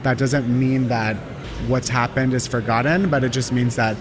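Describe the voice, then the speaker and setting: A man's voice: mid-range voice, steady tone, normal speed, over music. Earnest man interviewed over street noise. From Los Angeles. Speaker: cafe owner.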